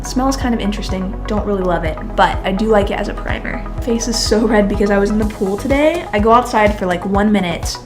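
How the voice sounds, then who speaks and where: A young woman in her twenties with a stuffy, nasal voice talks through a makeup tutorial. She rambles in a chatty way, full of fillers, and calls her viewers beauties. Soft music plays faintly behind her. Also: medium pitch, fast, few pauses. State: congested from a cold, nasal. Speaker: beauty vlogger. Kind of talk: casual makeup tutorial talk.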